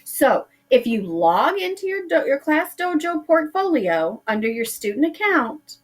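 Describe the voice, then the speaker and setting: Middle-aged female teacher, slow expressive read-aloud voice, slightly high. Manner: expressive, drawing out words for effect. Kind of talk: reading a picture book aloud to pupils.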